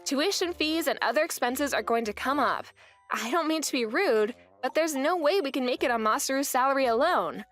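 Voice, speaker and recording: A young woman narrates her own story for a manga dub in an earnest, weary way. Her voice sits high and moves in lively swings. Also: brisk, faint music behind. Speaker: narrator and wife.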